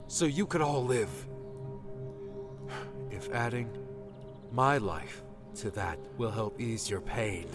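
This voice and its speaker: A man's voice: medium pitch, pitched above the performer's own voice. A man playing an earnest, burdened young male hero in an audiobook, speaking in a solemn, heartfelt way. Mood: solemn, impassioned. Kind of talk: audiobook character dialogue.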